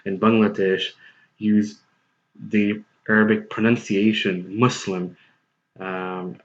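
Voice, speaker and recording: A young man with a low voice talks through a word's pronunciation in a meandering, earnest way. His is Hindi-Urdu, and he presses his case with conviction. The recording is dull and lo-fi. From United States. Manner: rambling, earnest, argumentative. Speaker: tutorial host. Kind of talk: casual explanatory talk.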